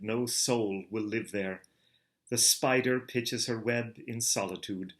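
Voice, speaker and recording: A man, a theater director, reading a classic novel aloud with an expressive, theatrical delivery. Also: medium pitch. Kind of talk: dramatic literary reading.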